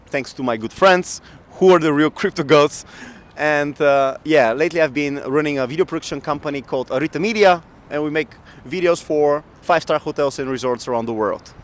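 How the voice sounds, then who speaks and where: A man in his thirties from Bulgaria telling his work story in a casual outdoor interview, animated and laughing often, into a handheld mic. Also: on the high side, moderate pace. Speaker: guest entrepreneur. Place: outdoor city square in winter. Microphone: handheld mic shared, camera close.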